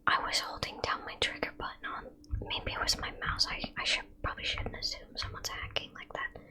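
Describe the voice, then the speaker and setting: Whispering young woman chatting over gameplay. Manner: whispering throughout, chatty, rambling, quickly frustrated by opponents. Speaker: gaming video creator.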